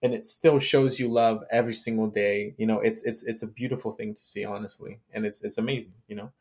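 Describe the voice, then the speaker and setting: A man's voice: on the low side, fairly even intonation, darkish tone. Agreeable man in casual chat. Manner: agreeable, halting, repeats words when starting. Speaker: podcast co-host.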